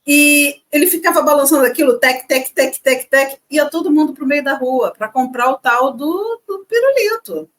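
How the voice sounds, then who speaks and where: A middle-aged woman from Brazil co-hosting a casual chat, telling childhood stories in an animated way and laughing easily. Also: high-pitched, normal speed, few pauses. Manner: lively storyteller, laughs easily. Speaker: co-host.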